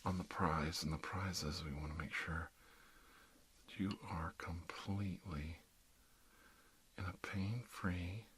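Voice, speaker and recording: A man playing a calm, reassuring dentist in an ASMR roleplay, speaking softly and often slipping into a whisper as he soothes a patient. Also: low voice, slightly rough, full character voice. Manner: soothing, unhurried, reassuring, talking a patient through each step. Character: calm, reassuring male emergency dentist treating a supernatural patient. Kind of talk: ASMR roleplay, soft-spoken with frequent whispering.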